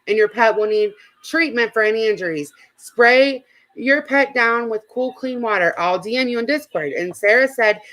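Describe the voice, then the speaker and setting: A woman's voice: on the high side, fairly bright tone, low-quality recording. A woman hosting a casual online chat, talking through gossip in an informal, conversational way.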